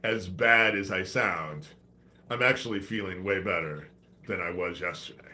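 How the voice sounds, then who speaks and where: A man's voice: sits low, moderate pace, sharp tone, a little hiss or hum. A man hosting a live stream while sick with a congested head cold, talking in a loose, rambling, profane way. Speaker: livestream host. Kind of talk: rambling casual livestream talk.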